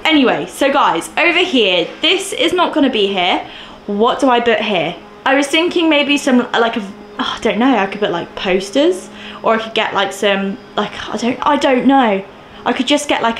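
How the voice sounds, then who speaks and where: A bubbly young woman in her twenties, chatty and gushing, with a somewhat high voice. She walks through bare, echoey rooms, talking into a handheld camera. A faint room hiss sits underneath. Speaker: vlogger. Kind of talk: casual home tour vlog. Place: mostly empty new flat, indoors. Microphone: camera mic, handheld camera.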